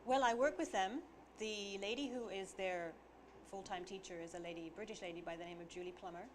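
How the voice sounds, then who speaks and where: A woman's voice: medium pitch, fairly quick. Woman guest with audible hiss behind. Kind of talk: studio talk show interview.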